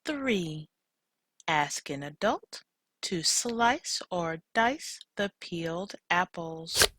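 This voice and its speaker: A woman teacher reading a children's storybook aloud, enunciating deliberately for young listeners. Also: fairly low pitch, lively intonation, no room sound. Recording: loud music behind the voice. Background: music.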